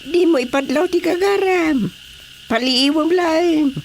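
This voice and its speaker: A man's voice: very high-pitched, measured pace, gravelly, a little hiss or hum, exaggerated voice. A Filipino man playing a bossy, scheming village council chairman in a comedic radio drama.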